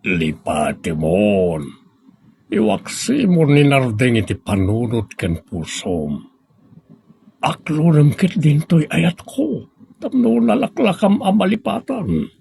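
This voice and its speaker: A man's voice: fairly high pitch, big pitch swings, takes it slowly, vocal fry, mellow, slight background noise, heavily acted. A man playing a devoted, generous suitor in a sentimental audio drama, earnestly wooing.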